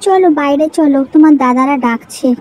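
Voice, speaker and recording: High-pitched young village bride voiced by a woman. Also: fairly quick, lightly acted. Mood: worried, suspicious. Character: young village bride, practical, wary of her in-laws. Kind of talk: animated moral story voice acting.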